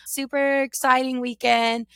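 A woman co-hosting a podcast in casual chat; she is hoarse after losing her voice and talks in a chatty, enthusiastic way. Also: sits high, flat delivery, unhurried, fairly bright tone. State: hoarse, has lost her voice. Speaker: podcast producer and co-host.

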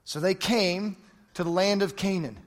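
A man's voice: high voice, normal speed. A man preaching a sermon, emphatic and repeating phrases for effect, speaking in a large church hall. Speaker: pastor. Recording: long hall reverb.

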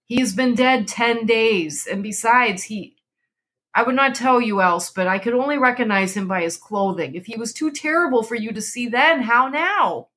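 A woman's voice: fairly high pitch, lively intonation, normal speed, a bit bright, voice pushed up. A woman voicing a fearful old man in a dramatic story reading, unsteady and faltering. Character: fearful, tender old man, shaken husband.

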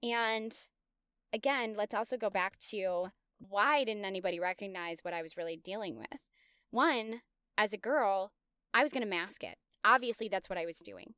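A woman's voice: mid-range voice, normal speed, fairly bright tone, clear, resonant tone, dry recording, studio quality. A woman in her thirties hosting a podcast, telling her own story in a candid, confessional, self-reflective way. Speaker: podcast host. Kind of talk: personal storytelling monologue.

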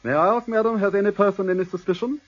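A man's voice: sits high, fast talker. A man playing a shrewd, formal upper-class English barrister in a radio drama. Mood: grave. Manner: formal, authoritative. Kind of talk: BBC radio drama acting. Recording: dull archive radio recording.

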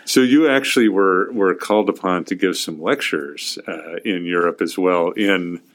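Deep-voiced male podcast host. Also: normal speed, a bit bright. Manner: easygoing, leading questions, frequent fillers. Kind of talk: interviewing a guest.

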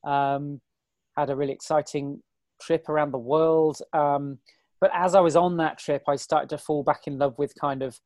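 A man in his forties, a guest on an interview, talking in a chatty, self-deprecating, rambling way with a hoarse, weary voice. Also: fairly high pitch, steady tone, moderate pace, a little mellow, no room sound. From Britain. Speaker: guest, ultrarunner. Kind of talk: casual interview chat.

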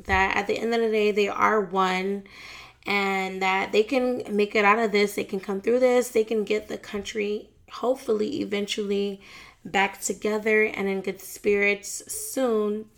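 A woman's voice: mid-range voice, little pitch movement, a little slow, vocal fry. A young woman vlogging in a casual, frank way, chatting about celebrity news and family with plenty of fillers and slang. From United States. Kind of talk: casual celebrity gossip chat.

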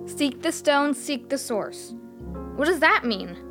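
High, lilting young girl, voiced by a woman. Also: expressive, slow pace, over music. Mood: curious. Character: polite, curious young girl adventurer. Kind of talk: children's audio drama acting.

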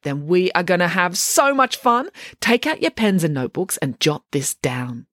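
A woman's voice: fairly low pitch, big pitch swings, moderate pace, a bit bright, no room sound. Lady putting on a chirpy bossy mum teacher, voice bounces all over, dry close sound. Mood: breezy. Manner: breezy, chirpy, talks over others. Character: brisk eccentric scientist mother, oblivious and bossy.

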